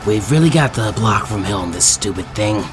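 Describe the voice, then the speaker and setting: A man's voice: lively intonation, normal speed, over music, natural voice. Man as a grumbling, weary teenage boy. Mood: irritated. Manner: low muttering, griping.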